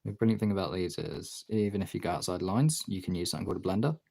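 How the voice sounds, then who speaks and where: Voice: deep voice, fast, creaky, darkish tone. Someone giving a casual hands-on art tutorial, rambling and thinking aloud while working.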